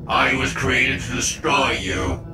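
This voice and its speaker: A man voicing a cold, menacing male war robot in a fan audio drama, speaking flatly and mechanically. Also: mid-range voice, takes it slowly, vocal fry, reverberant, far from the mic, over music. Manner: flat, mechanical, threatening.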